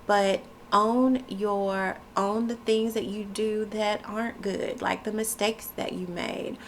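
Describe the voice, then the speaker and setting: A woman's voice: medium pitch, fairly even intonation, slow pace, a little hiss or hum. A woman talking casually to camera with a stuffy nose and a froggy voice, chatty and loose with many fillers. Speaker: wellness vlogger. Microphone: camera mic.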